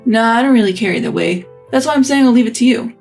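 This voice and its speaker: A woman's voice: on the high side, animated, quick pace, gravelly, darkish tone, faint music behind. Woman doing an offhand, evasive young husband. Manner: offhand, shrugging.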